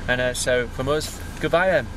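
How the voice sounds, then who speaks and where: A man's voice: mid-range voice, moderate pace. A man in his thirties with an English accent, chatting casually and enthusiastically in a rambling vlog style. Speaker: vlogger. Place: outdoors on country lanes, or inside a parked car. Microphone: handheld camera at arm's length. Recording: background noise outdoors and in car.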